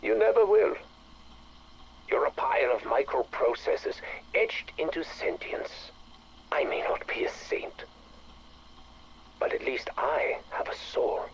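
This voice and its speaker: An actor playing an evasive, cynical scientist, dry and condescending, in a game audio drama. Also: male voice, on the high side, big pitch swings, measured pace, vocal fry, long pauses, a little hiss or hum, exaggerated voice, rough recording. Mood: cold, scornful.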